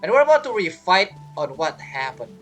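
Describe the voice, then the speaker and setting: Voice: mid-range voice, big pitch swings, fairly quick, fairly bright tone. A gamer giving casual gameplay commentary with informal, muttered asides. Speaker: gaming video creator. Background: game music.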